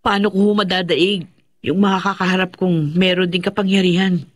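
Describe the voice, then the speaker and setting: A woman's voice: lowish voice, steady tone, moderate pace, no echo, clean studio sound, close to an everyday voice. A woman playing a determined, impatient young woman seeking power in a radio drama, respectful but headstrong.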